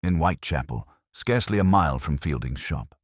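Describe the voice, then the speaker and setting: Man acting a deep-voiced, agitated police inspector. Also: animated, a little fast, dry recording, studio quality. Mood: alarmed, urgent. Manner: emphatic, formal old-fashioned speech. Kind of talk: audiobook character dialogue.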